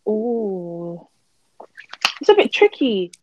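A woman's voice: medium pitch, sing-song, drawn-out words, lo-fi sound, a little hiss or hum. Woman, twenty-one, bubbly giggly co-host. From London, England. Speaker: podcast co-host. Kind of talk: casual chat.

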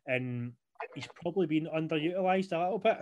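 A man's voice: on the high side, a little fast, darkish tone, slight background noise. A young man co-hosting a wrestling podcast, rambling casually and leaning on "you know" fillers. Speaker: podcast co-host. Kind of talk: casual chat.

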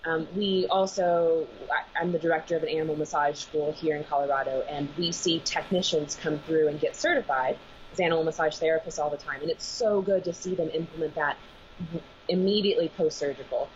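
Woman vet on a video call, kinda low voice, earnest, starts over a lot, muffled sound. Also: a little fast. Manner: earnest, thinks aloud with restarts. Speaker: veterinarian and animal massage school director. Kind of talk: remote interview guest. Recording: muffled video call audio with faint noise.

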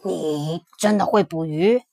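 A big gruff male tyrannosaurus, voiced by a woman for a children's storybook. The voice is low, husky and slow, with a dry close sound. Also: mellow, no room sound.

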